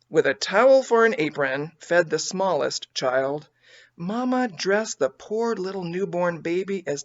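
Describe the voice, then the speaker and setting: A woman reading a children's story aloud as an expressive audiobook narrator. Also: fairly low pitch, muffled recording. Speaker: audiobook reader.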